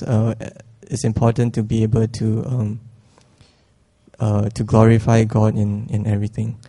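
A young man from Singapore giving a prepared church testimony, hesitant and full of fillers, with a voice he says others call whiny and robotic. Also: on the low side. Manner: hesitant, many fillers, soft-spoken.

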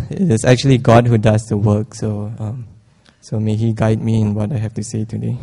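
A young man from Singapore giving a prepared church testimony, hesitant and full of fillers, with a voice he says others call whiny and robotic. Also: on the low side. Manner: hesitant, many fillers, soft-spoken.

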